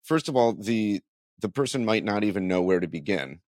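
A man's voice: lowish voice, lively intonation, moderate pace, a little mellow, no room sound, studio quality. A man hosting a podcast, interviewing in a casual, exploratory way and rephrasing his questions as he goes.

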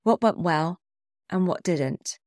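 A woman's voice: fairly low pitch, animated, takes it slowly, darkish tone, no echo, clean studio sound. A woman with a cold, sounding a bit snuffly, hosting her own podcast and reflecting candidly in a scripted solo talk. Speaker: podcast host.

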